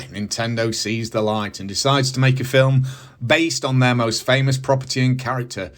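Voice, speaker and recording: A middle-aged man with a British accent, presenting a scripted review with casual asides and emphatic, enthusiastic phrasing. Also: medium pitch. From United Kingdom. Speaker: host of a movie review channel.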